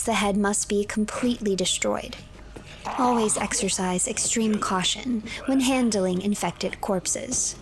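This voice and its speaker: A woman streamer reading game files and narrating the story in a measured, deliberate way. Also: medium pitch.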